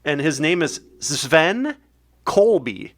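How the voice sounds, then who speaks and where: Animated, rambling podcast host man. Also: a little high. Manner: rambling, quick, animated storytelling. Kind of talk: casual comedic banter. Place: basement studio.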